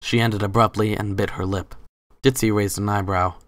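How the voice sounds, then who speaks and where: A man's voice: lowish voice, steady tone, moderate pace, dry recording. A man reading scripted third-person story narration as the narrator of a dramatic reading.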